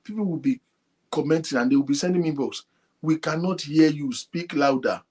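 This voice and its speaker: A man hosting an online talk show, speaking softly and addressing his viewers directly. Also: fairly high pitch, brisk, breathy edge. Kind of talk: casual talk to a live audience. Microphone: phone linked to a computer.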